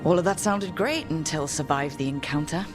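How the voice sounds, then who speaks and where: A voice actor playing a down-to-earth adventurer who leads a band of companions. The delivery is plain and wry, in an everyday middle-pitched voice. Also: moderate pace, over music, lightly acted. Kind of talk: video game cutscene acting.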